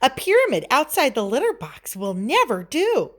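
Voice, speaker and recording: A woman voicing an exasperated, scolding mother cat in a storybook, with emphatic, drawn-out words. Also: sits high, wildly swooping pitch, pitched above the performer's own voice.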